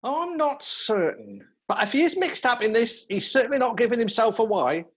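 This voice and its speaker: Man acting an animated, earnest detective sergeant. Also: very high voice, moderate pace, breathy edge, dull audio, full character voice. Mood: earnest, dutiful. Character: earnest, deferential male detective sergeant reporting to superior. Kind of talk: radio play acting.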